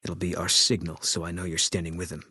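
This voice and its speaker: An actor voicing a grim, bitter former army sniper in a video game, guarded and grieving, with terse, flat, brooding delivery. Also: male voice, deep voice, quick pace, husky, no echo, clean studio sound, exaggerated voice. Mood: grim, resolute.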